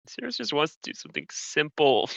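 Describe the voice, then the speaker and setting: A man's voice: on the high side, sing-song, moderate pace, creaky, no room sound. A man playing a tabletop game, chatting casually about what his character does, on a slightly dull, dry recording. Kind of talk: casual game chat, speaking for his character in third person.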